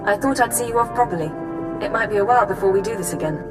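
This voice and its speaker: An actress playing a loyal, earnest intelligence officer in a video game, speaking formally yet in a friendly way. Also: female voice, medium pitch, very fast, natural voice. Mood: cordial, professional. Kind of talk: scripted dramatic game acting. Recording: reverberant, loud music behind.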